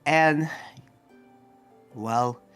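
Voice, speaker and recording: A man playing a kind, idealistic young demon slayer and researcher in an improvised roleplay, speaking earnestly and haltingly. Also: fairly high pitch, fairly bright tone, clean tone, soft background music. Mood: pensive. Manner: hesitant, earnest, halting with restarts.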